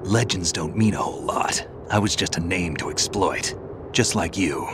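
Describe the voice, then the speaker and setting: A deep, gravelly actor's take on a male veteran soldier from a video game. He is stoic and dry, speaking in short, weighty phrases like a reluctant mentor. A noticeable ambient rumble sits behind the voice. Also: moderate pace, lightly acted. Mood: grave, resolute. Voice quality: gravelly, gruff. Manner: terse, dry, unflappable. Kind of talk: video game cutscene voice acting.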